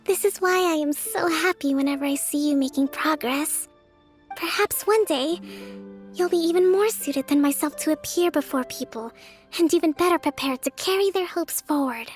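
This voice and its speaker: Woman acting as young priestess strategist, very high girlish pitch, gentle, introverted, formal, soft-spoken, video game character, faint music behind. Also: normal speed, lightly acted. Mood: gentle, wistful.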